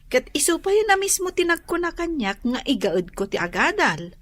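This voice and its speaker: An actress with a Filipino accent playing an obedient young student wife, eager to please, in a radio drama. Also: female voice, high voice, big pitch swings, normal speed, a bit bright. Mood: reassuring.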